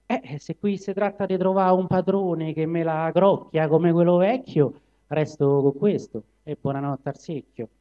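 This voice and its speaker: A man's voice: a little high, measured pace, lo-fi sound, close to an everyday voice. A man voicing an overworked, aggrieved donkey who complains in emphatic verse with a Roman accent. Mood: grumbling, defiant. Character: aggrieved overworked male donkey, grumbling laborer.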